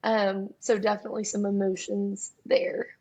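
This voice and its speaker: A young woman with a raspy voice, a singer-songwriter guest telling her story in a casual, chatty interview with many fillers. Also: medium pitch, little pitch movement, normal speed, darkish tone. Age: young adult.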